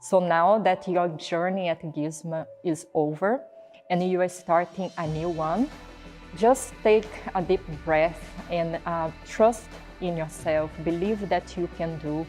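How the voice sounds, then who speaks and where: A woman giving gentle, encouraging advice to graduates in a recorded message. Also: lowish voice, takes it slowly, faint music behind.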